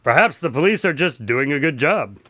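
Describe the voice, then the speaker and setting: An actor playing a sensible, wry doctor and loyal friend in a radio drama, conversational and teasing. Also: male voice, fairly high pitch, expressive, moderate pace, bright, forceful tone, no room sound, clean studio sound. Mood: concerned, wry.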